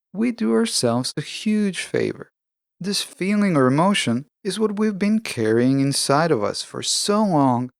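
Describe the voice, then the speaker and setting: A man with a fairly high voice delivers a prepared self-help podcast monologue. His intonation moves up and down a great deal while he explains things in a deliberate, earnest manner. The sound is dry and close. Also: no echo. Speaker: podcast host.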